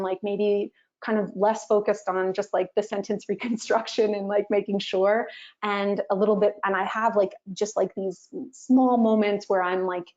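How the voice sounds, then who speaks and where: A young woman speaking earnestly and hesitantly as a guest, often pausing on fillers. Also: mid-range voice, a little mellow. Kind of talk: casual conversation. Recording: slightly muffled audio.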